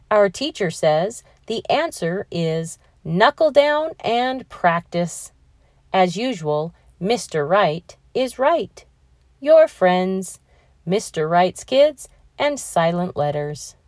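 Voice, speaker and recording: A woman's voice: mid-range voice, darkish tone, no room sound, studio quality. A woman voicing earnest schoolchildren reciting a letter in a storybook, emphatic and declamatory. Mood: proud.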